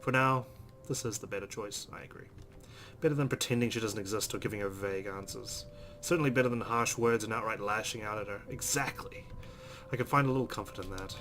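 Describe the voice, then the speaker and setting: A man's voice: fairly low pitch, fairly quick, sharp tone, a little hiss or hum. A man hosting a let's play, expressively reading a visual novel aloud and adding his own asides.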